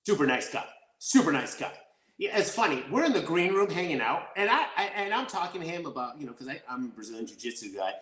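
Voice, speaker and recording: A man's voice: a little high, quick pace. Chatty man with roomy echo. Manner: rambling, energetic storytelling with many restarts. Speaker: actor guest. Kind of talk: casual interview chat.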